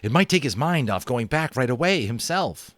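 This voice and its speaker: A man's voice: mid-range voice, sing-song, normal speed, fairly bright tone, no echo. A man voicing an eager schoolboy friend making a suggestion in an audiobook.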